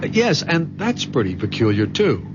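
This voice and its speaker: A wry, protective young American fiancé in an old radio drama. His delivery is emphatic and lively in pitch, with car noise behind him on a dull vintage recording. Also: moderate pace. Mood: wry, suspicious. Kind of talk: 1970s radio drama acting. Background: car engine and road noise.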